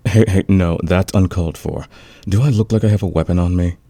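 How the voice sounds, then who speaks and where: Man acting a very deep, flirty fantasy villain. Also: mellow, no echo. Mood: playful protesting. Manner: teasing, intimate, drawn-out confiding delivery. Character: flirtatious, smug male fantasy villain, secretly smitten rival. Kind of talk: romantic ASMR roleplay acting.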